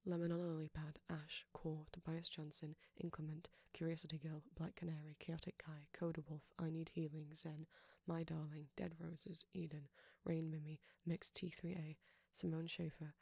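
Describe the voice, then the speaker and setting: A woman with a low voice reads out credits in a list-like recitation. Her intonation stays very level from one name to the next, and the sound is dry, with no room around it. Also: little pitch movement, moderate pace, creaky, warm tone, dry recording. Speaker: audio creator thanking patrons.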